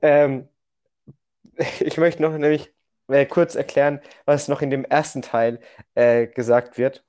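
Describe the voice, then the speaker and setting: Young man, podcast co-host, casual chat, halting self-correcting storytelling, mid-pitched voice. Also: little pitch movement, a little mellow.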